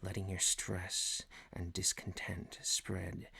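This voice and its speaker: A man playing a stern, pompous office boss in an ASMR roleplay, speaking formally and deliberately with theatrical pauses. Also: sits low, monotone, creaky, sharp tone, breathy edge, heavily acted. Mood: grave, softly lecturing. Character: stern, pompous male office boss, mock-solemn, paternal. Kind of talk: scripted ASMR roleplay monologue.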